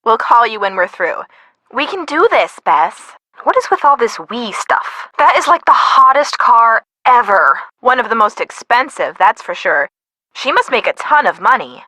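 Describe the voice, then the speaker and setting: A woman's voice: on the high side, very animated, normal speed, pushed and bright, no room sound, exaggerated voice. A woman voicing a detective's peppy young female friend in a mystery game, with sassy, teasing, casual banter. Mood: sassy, curious. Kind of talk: scripted mystery game voice acting.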